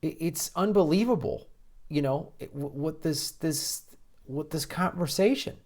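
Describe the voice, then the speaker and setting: A man's voice: on the high side, a little mellow. An American man giving casual political commentary in an incredulous, mocking manner, often breaking off and restarting his sentences. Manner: halting, with repeated false starts. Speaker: host of a political commentary show.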